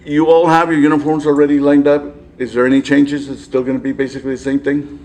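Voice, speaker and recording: A man's voice: fairly high pitch, flat delivery, quick pace, darkish tone. A man hosting a casual sports interview, upbeat and chatty, with frequent laughs and a South Texas accent.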